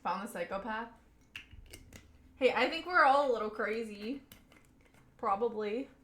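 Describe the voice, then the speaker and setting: A young woman with a Southern lilt and a fairly high voice chats loosely with her live viewers. She giggles between words, and the sound carries the echo of the room she is in. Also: unhurried, a bit bright. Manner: chatty and giggly, often laughing mid-sentence. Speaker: live streamer. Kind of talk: casual chat with a live audience.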